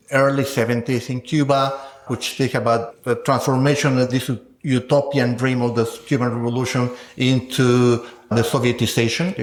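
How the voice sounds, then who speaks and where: A middle-aged man talking reflectively and conversationally as an interviewed scholar, explaining his thinking thoughtfully. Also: mid-range voice. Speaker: guest scholar interviewed about research. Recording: roomy, echoing sound.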